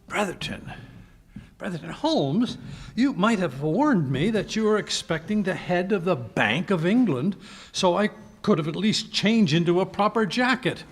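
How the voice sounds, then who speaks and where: A man playing a genial, blustery middle-aged doctor in a live 1940s-style radio mystery, gravelly and husky, bumbling along. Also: high voice, expressive, unhurried, exaggerated voice. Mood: grumbling. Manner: blustering, bumbling, repeating himself.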